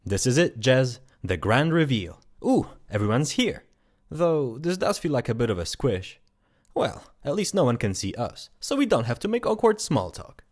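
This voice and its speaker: A man's voice: medium pitch, sing-song, normal speed, darkish tone. A man playing a shy, hesitant, friendly afterlife guide in an audio drama. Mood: cheerful.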